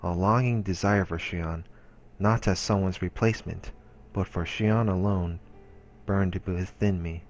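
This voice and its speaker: A man's voice: low-pitched, steady tone, unhurried, slight background noise. A man reading visual novel text aloud as a let's play narrator, in a dramatic storytelling style. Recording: background music behind the voice.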